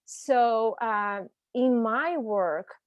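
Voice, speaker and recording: A woman's voice: on the high side, very slow. A woman from Brazil with a Brazilian Portuguese accent, speaking as a panelist and language teacher on a video call, reflective and searching for her words.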